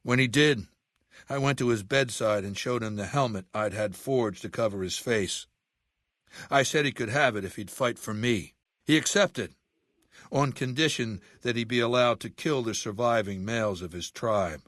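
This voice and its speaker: A man playing a ruthless, commanding warlord dictator in a video game, menacing and condescending, speaking deliberately. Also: medium pitch, fairly bright tone, no room sound, clean studio sound, lightly acted. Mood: matter-of-fact recounting. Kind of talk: video game character voice acting.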